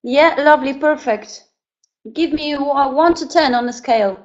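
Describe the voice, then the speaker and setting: A woman's voice: high voice, normal speed. A young woman from Poland with a Polish accent, hosting a live webinar in an upbeat, chatty way. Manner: upbeat, chatty, greets the audience warmly. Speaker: webinar presenter. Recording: dull lo-fi call audio.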